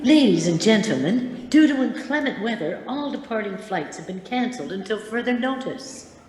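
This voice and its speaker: A woman playing a formal airport announcer giving a measured public address in a radio play. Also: on the high side, lively intonation, a little mellow. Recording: echoey noisy terminal public address.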